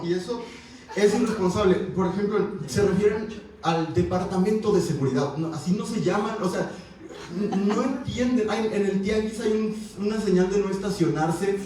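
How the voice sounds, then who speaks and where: Animated Mexican man in an echoey hall. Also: high voice, far from the mic. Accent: Mexican Spanish. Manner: animated, wry, conversational with frequent fillers. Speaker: panelist. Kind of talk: university panel discussion. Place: university auditorium.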